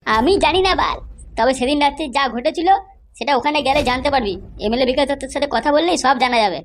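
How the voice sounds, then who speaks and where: Man playing a rapid-fire, squeaky-high cartoon henchman. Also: a bit bright, slight background noise. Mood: insistent. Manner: eager, deferential, complaining. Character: deferential, chattering male henchman of a gangster boss. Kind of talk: comedy cartoon dialogue.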